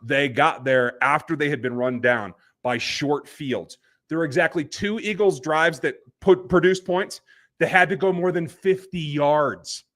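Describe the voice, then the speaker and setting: A man hosts a live post-game football reaction stream, and his delivery is fired-up and ranting. His voice sits a little high for a man. He pushes his points hard and speaks straight to the viewers. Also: a little slow, a bit bright. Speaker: podcast host.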